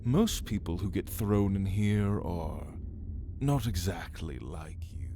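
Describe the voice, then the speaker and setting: A man playing an ancient, arrogant male vampire in an ASMR roleplay, taunting the listener theatrically with drawn-out, partly whispered words. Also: deep voice, lively intonation, dark tone, slight background noise. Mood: musing, taunting.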